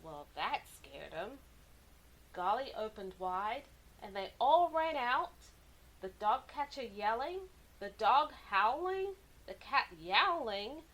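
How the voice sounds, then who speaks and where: A woman reading a children's story aloud with animated, deliberate, dramatic expression. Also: fairly high pitch, poor audio. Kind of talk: expressive picture-book narration for kids.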